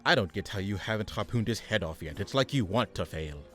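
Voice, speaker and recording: A man's voice: fairly low pitch, expressive, a little fast, a bit bright, faint music behind. A man playing a pompous, exasperated supervillain chairing a meeting in a comedic radio play, haughty and sarcastic.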